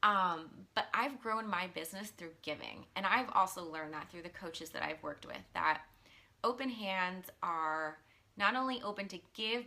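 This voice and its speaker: A woman in her thirties, a coach, talking casually in a live stream, earnest and chatty with many ums, and a little hoarse from losing her voice. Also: on the low side, sharp tone. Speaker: mindset and business coach. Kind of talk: casual live-stream talk, reading viewer comments.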